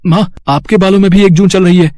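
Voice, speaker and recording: A man's voice: sits high, steady tone, fairly quick, a little mellow, no room sound, close to an everyday voice. A man voicing a puzzled young husband in a moral story cartoon. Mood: puzzled, alarmed.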